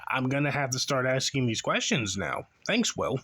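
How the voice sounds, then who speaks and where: A man's voice: medium pitch, very animated, moderate pace, a bit bright. Sarcastic young man mocking a clip. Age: 20s. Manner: sarcastic, ridiculing, exasperated. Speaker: reaction video host. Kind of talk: mocking commentary over a clip.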